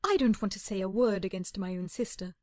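A woman reading the part of a weary older spinster lady in an audiobook, speaking slowly and plaintively. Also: medium pitch, a little mellow, no echo, clean studio sound, natural voice. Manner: plaintive, slow and heartfelt.

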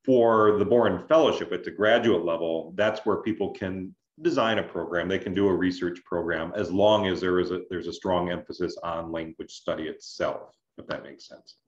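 A man explaining things as an adviser in an online information session, in a measured, conversational way with check-in questions. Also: sits low. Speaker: scholarship adviser, presenter. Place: indoors on a video call. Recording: roomy, dull video-call audio.

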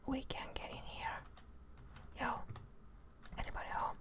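A young woman whispering casual, sweary ASMR commentary as she plays a video game, muttering to herself close to the microphone. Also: unhurried.

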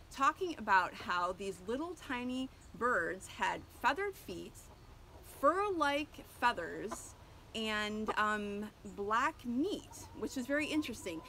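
A woman's voice: a little high, sharp tone, slight background noise. A woman in her thirties, a homesteader, chatting casually about her chickens outdoors beside a coop, with many ums and restarts. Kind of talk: casual educational vlog. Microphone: camera at close range.

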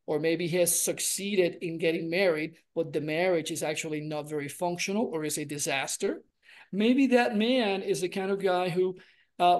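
A man in his forties from Puerto Rico, speaking earnestly on a video call as an interview guest and telling his story with many gestures and restarts. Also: high-pitched. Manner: earnest, expressive, gestures a lot, restarts phrases. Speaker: guest, relationship coach and doctor. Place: home room with framed pictures, indoors.

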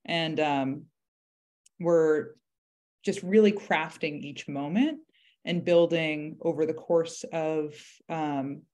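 A woman's voice: low voice, steady tone, takes it slowly, a little mellow. A woman in her thirties, a director and panel guest, explaining things conversationally on a video call in a hesitant, thoughtful, step-by-step way. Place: indoor room, video call. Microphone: video call microphone.

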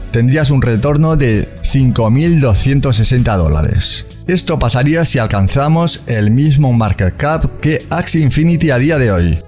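A man speaking talks through numbers and examples in a mid-pitched voice. He explains patiently, step by step, like a channel host walking viewers through a screen recording. Faint music plays under his voice. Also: darkish tone. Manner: explanatory, walks through prices and calculations step by step. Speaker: crypto gaming channel host. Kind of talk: screen-recorded walkthrough commentary.

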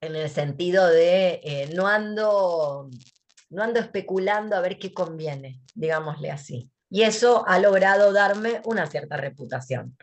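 A woman's voice: lowish voice, lively intonation, unhurried. Blunt Argentine woman on a video call. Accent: Argentine Spanish. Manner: blunt, sarcastic, emphatic. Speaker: guest, writer and thinker. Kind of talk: casual video call interview. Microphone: headset on video call.

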